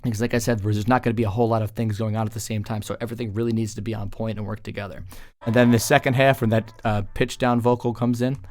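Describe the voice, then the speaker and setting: A man's voice: lowish voice, little pitch movement, quick pace, darkish tone, no room sound. A man talking casually as a music producer, explaining his work in an informal tutorial voice-over. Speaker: music producer presenting a track breakdown.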